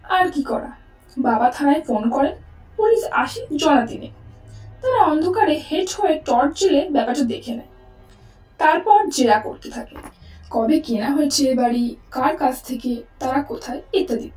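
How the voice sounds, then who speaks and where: A woman narrator tells a suspense tale theatrically in a high voice. The sound is echoing and set back from the mic. Faint music runs behind her. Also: expressive, normal speed, darkish tone, recorded from a distance. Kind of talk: dramatised story narration.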